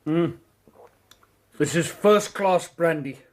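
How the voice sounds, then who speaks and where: A man's voice: on the high side, slow pace. An actor playing a leering, drunken British man who forces his attentions on a young woman, sneering and laughing. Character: leering drunken male suitor, middle-aged, menacing. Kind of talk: radio drama acting.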